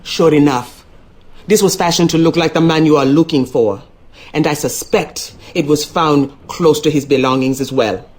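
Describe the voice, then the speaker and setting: An actress as a folksy hoodoo woman of the American South, low-voiced and knowing. She speaks with motherly mystery, as a character in an adventure game. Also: normal speed, darkish tone, a little hiss or hum, lightly acted. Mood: knowing, mysterious. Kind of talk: video game character acting.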